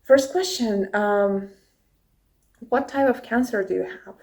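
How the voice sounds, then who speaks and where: Young russian-accented woman chatting to her phone cam, slow and relaxed, answering questions. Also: mid-range voice. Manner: unhurried, conversational, answers questions from notes. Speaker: YouTuber. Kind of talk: casual get-to-know-me chat.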